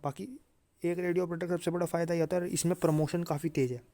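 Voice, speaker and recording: A man's voice: a little high, steady tone, fast, mellow, dry recording. A man presenting an informal career explainer for students, often repeating points for emphasis and tagging them with short check-in phrases. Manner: repetitive check-in tags, emphatic repetition. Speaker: exam-career video presenter.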